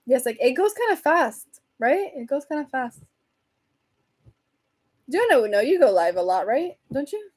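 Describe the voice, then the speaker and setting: A woman hosts a relaxed livestream, chatting loosely with her viewers and laughing easily. Her voice sits a touch high and has grown hoarse from a long stretch of talking. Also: moderate pace, pauses often. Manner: chatty, rambling, reads and answers comments, laughs often. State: voice getting hoarse. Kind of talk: casual livestream chat.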